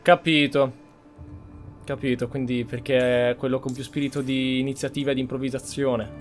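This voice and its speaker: A man's voice: mid-range voice, flat delivery, a little fast, faint music behind. A man giving casual commentary as he plays and roleplays through a video game. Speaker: gamer, roleplay series host. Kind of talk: casual Let's Play commentary.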